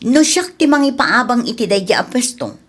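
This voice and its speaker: Stubborn, scheming Filipino landowner cousin, female, played by an actress, higher-pitched voice, radio drama. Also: expressive, normal speed, a bit bright, breathy edge. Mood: insistent, hard-nosed. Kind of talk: Ilocano radio drama acting.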